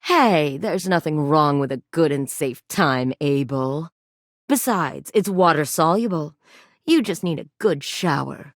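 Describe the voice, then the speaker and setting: A woman's voice: low voice, lively intonation, a little slow, no room sound, studio quality, full character voice. A woman playing a saucy, shameless, teasing character in a video game, with a suggestive, playful way of talking. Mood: coy, defensive.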